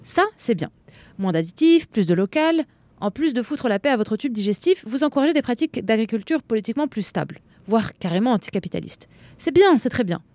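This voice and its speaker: Young woman doctor, audio fiction: sardonic, lecturing idealist, highish pitch, dry studio sound. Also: sing-song, a little fast, dark tone, no room sound. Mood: preachy. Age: young adult.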